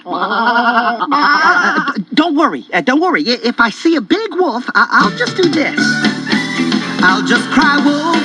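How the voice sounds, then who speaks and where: High-voiced, stammering little shepherd boy. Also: big pitch swings, slow pace, heavily acted, rough recording. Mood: sheepish. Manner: stammering, faltering, sing-song. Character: mischievous little shepherd boy, hesitant and playful. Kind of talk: children's storybook acting, part sung. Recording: music behind, from cassette tape.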